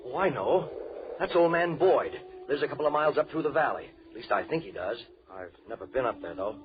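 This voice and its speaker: An actor playing an earnest, persistent searcher in an old-time radio drama, plain-spoken and urging. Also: male voice, mid-range voice, animated, fairly quick, close to an everyday voice. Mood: curious. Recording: muffled, noisy old radio recording.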